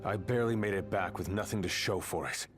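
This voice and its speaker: An actor playing a seasoned, middle-aged male soldier who speaks in a measured, stern tone as a mentor. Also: sits low, lightly acted. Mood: recounting. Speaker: video game character. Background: game score music.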